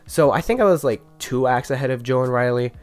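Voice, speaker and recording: A man's voice: medium pitch, fast talker, darkish tone, clean tone, faint music behind. A young man with a nasal, stuffy voice from being sick, telling a joking, chatty story about his school days with small stumbles. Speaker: YouTuber. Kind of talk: casual storytime narration.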